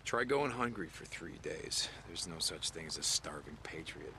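An actor playing a gruff, world-weary American biker drifter in a video game, muttering and sardonic. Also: male voice, a bass voice, lively intonation, moderate pace, heavy background noise. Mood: firm, sardonic. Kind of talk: naturalistic video game acting.

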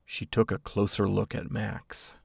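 A man narrating a scripted story in a gravelly, husky voice. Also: lowish voice, completely flat delivery, a little slow, no echo, studio quality.

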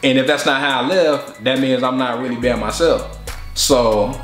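A man's voice: medium pitch, steady tone, normal speed, fairly bright tone. Young man in a slightly roomy space. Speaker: rapper being interviewed. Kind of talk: casual reflective interview talk. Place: living room couch indoors. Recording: slightly roomy indoor sound, faint music behind.

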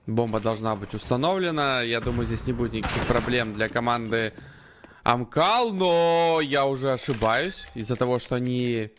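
A man with a medium-pitched voice commentates and analyses a live esports match. Game gunfire and clicking are clearly audible behind his voice. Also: lively intonation, normal speed, noisy background.

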